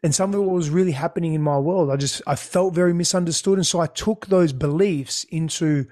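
This is a man whose was Greek. He talks openly and reflectively as an interview guest, often doubling words mid-thought. His voice sits a little high for a man. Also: a little fast, a little mellow. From Australia. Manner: candid, repeats words when searching.

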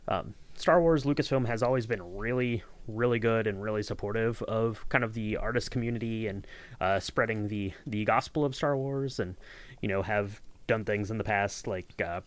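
A man hosting a podcast, explaining things in a casual, conversational way. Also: on the low side. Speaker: podcast host. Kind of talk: casual behind-the-scenes podcast chat.

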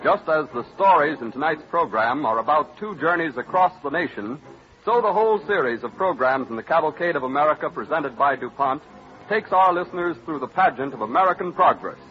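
Man, old-time radio announcer, formal and stately, higher pitch, dull lo-fi vintage recording, faint music.

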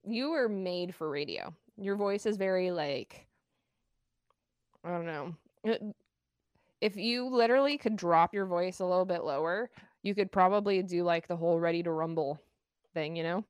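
A woman's voice: lowish voice, normal speed, smooth, no echo, studio quality. A woman co-hosting a podcast, chatting casually with lots of fillers and restarts. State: asthmatic cough at times. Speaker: podcast co-host. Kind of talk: casual chat.

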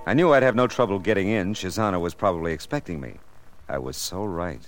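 Male actor as American private eye, low voice, wry and world-weary, old radio drama with music. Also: fast, natural voice. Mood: wry, tense. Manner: sardonic, wisecracking. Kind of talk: 1950s radio drama acting, hard-boiled first-person narration.

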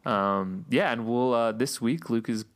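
A man co-hosting a podcast in casual chat, relaxed and chatty, laughing often, saying he is losing his voice. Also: medium pitch, a little slow. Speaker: podcast co-host.

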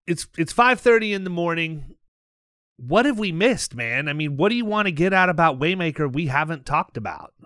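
Higher-pitched man, dry close sound. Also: lively intonation, normal speed, a bit bright, no room sound. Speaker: podcast host, insurance and risk advisor. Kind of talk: casual interview chat.

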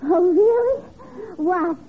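A woman's voice: very high voice, animated, slow, exaggerated voice. An actress playing a brash, man-hungry New spinster, gushing and saucy, in a 1950s radio comedy. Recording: muffled noisy radio, studio audience.

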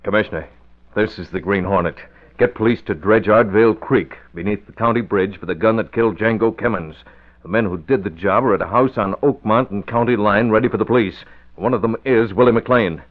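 Composed male crime-fighter, played by an actor, 1940s radio drama, authoritative and measured, dull old recording. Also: on the low side, lightly acted. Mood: urgent, commanding.

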